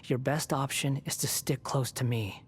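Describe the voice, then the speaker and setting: A man's voice: mid-range voice, fairly even intonation, moderate pace, a little hiss or hum, natural voice. An actor playing a smug, sardonic young man in a video game, speaking in a cool, snide and condescending manner. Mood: taunting. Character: smug, sardonic young male prisoner on temporary release.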